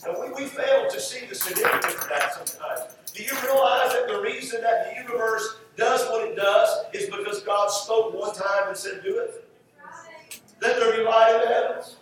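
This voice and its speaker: A man's voice: very high voice, moderate pace, a bit bright, slight background noise. A man preaching a sermon, teaching from scripture in an emphatic way and repeating phrases for stress. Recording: roomy echoing hall, distant mic, music.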